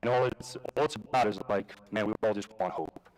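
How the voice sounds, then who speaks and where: A man's voice: fairly low pitch, a little fast, darkish tone, rough recording. A man from Queens, speaking casually at a live conference, full of fillers, restarts and swearing. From Jamaica, Queens, New York. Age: adult with a daughter. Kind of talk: personal story talk at a live event.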